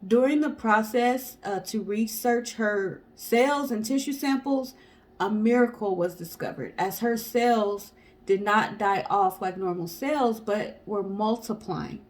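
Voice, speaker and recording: Female storyteller and co-host, slightly high voice, casual read-from-notes delivery. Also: darkish tone.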